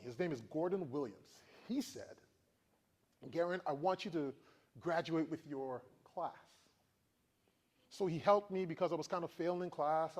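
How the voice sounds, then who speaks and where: A man from the Virgin Islands in his forties tells stories to a room of students, keeping them engaged with frequent questions. His voice sits a little high and swings with the story. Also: a little mellow. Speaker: motivational speaker. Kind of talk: motivational talk to students.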